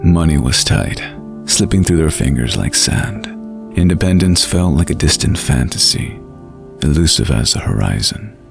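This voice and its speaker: Deep, gravelly male storyteller. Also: very animated. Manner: measured, literary, atmospheric delivery. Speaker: narrator. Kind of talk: scripted fantasy bedtime story narration. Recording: light music behind the voice.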